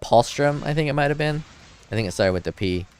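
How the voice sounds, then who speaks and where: A man's voice: on the high side, lively intonation, fast talker, clean tone. A man streaming a game from his home desk, chatting casually and reading chat messages aloud, a little drunk. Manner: laid-back, rambling, joking. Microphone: desk mic in front of keyboard.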